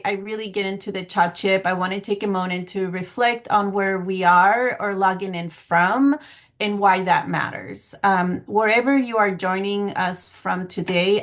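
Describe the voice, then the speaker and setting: A woman from Guatemala giving a semi-formal online presentation in an earnest, measured way with some hesitant phrasing, speaking over a video call. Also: medium pitch. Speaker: public health planning coordinator giving a presentation. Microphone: video call microphone.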